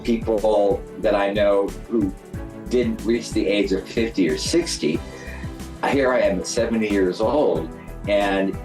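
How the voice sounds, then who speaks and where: A man's voice: on the low side, slow pace. A man of about seventy speaking reflectively and conversationally in a personal interview. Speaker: retired social worker, award honoree. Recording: roomy echo, distant mic. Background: background music.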